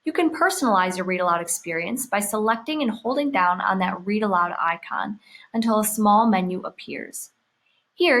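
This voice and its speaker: A woman narrating a scripted software tutorial, explaining each step clearly as the presenter. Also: mid-range voice.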